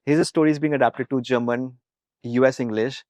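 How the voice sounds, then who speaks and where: A man's voice: mid-range voice, fairly even intonation, moderate pace, darkish tone, no room sound. A young man with an Indian accent chatting as a founder in an interview, in hesitant, broken phrases. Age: young adult. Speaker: startup founder being interviewed. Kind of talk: conversational interview.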